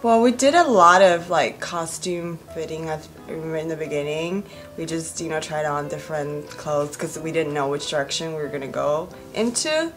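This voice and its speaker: A woman's voice: sits low, lively intonation, normal speed, few pauses, faint music behind. A woman in her twenties, an actress answering interview questions in a rambling way, full of "like" and "you know". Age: 20s.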